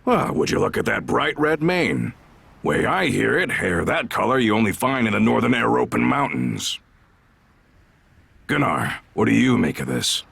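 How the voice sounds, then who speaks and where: An actor playing a gruff, sly, commanding brigand leader in a video game, with a husky, gravelly voice and a folksy, teasing drawl. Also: male voice, medium pitch, big pitch swings, moderate pace, fairly bright tone, no echo, exaggerated voice. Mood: probing, sly. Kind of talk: video game cutscene acting.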